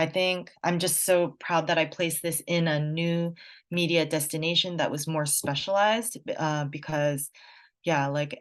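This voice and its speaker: A woman from the United States, a journalist answering audience questions in a chatty, reflective way with lots of fillers. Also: low-pitched. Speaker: guest speaker, journalist. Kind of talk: casual Q&A talk.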